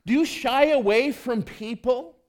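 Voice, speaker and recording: A man's voice: very high-pitched, a little slow. A male pastor giving a conversational sermon, reading scripture and asking the congregation rhetorical questions.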